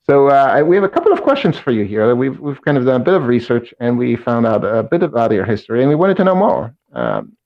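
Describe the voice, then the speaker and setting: A man's voice: medium pitch, wildly swooping pitch, quick pace, hardly any pauses. A man co-hosting a casual chat who trips over his words and repeats them before getting a sentence out. His audio sounds dull and lo-fi. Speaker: co-host.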